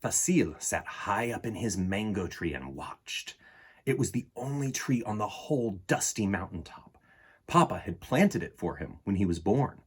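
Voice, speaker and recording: A man in his forties hosts storytime for children in a slightly husky voice. His pitch swings widely as he talks and reads, with deliberate pauses and dramatic emphasis on key words. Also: very animated. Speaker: storytime host reading a picture book. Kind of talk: expressive children's story reading. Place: small indoor room in front of bookshelves.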